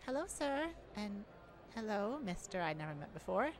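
A woman's voice: medium pitch, very animated, moderate pace, natural voice. A woman voicing an affectionate, modest young male bar singer in an intimate ASMR roleplay, sweet-talking and hesitant. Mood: nervous, polite.

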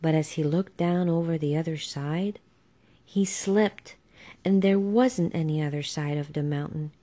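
A woman's voice: sits low, unhurried, dark tone. A woman reading a children's storybook aloud as a narrator. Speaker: storybook reader.

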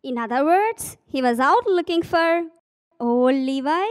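A woman's voice: high voice, expressive, a little slow. A woman voicing a practical, caring grandmother in a children's puppet show.

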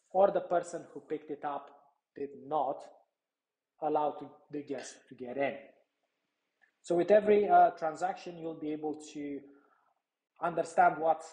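A man in his thirties giving a product demo walkthrough in a measured, explanatory manner. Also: a little high, a little mellow. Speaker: product presenter. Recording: echoey room sound.

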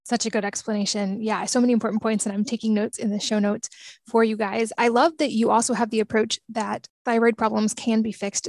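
A woman podcast host speaks in a relaxed, conversational way, praising her guest and leading into the next question. Her voice has a gravelly fry, and the sound is close and dry with no room around it. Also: medium pitch, no echo. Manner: appreciative, conversational. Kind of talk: interview questions.

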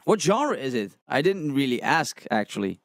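Young man, casual livestream chat, thinks aloud, mid-pitched, gravelly edge. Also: big pitch swings, dry recording, studio quality. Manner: unhurried, thinking aloud, reads chat messages. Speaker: music reaction streamer. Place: small room with colored lights, indoors. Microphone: studio condenser mic on a stand, headphones on.

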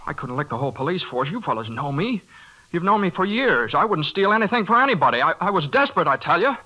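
An actor playing a hot-headed but good-hearted young working man in a 1940s radio drama, halting and stammering as he talks. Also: a little high, very animated, lo-fi sound, full character voice. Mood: desperate, pleading. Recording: 1940s radio broadcast with loud music.

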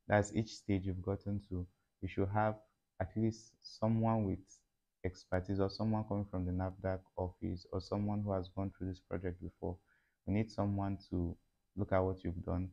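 A young Nigerian man with a Nigerian accent, speaking to camera as a factory owner teaching how to set up a business, measured and advisory with frequent fillers. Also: sits low, dark tone, lo-fi sound. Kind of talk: instructional talk to camera. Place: indoor room with curtains. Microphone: lapel mic.

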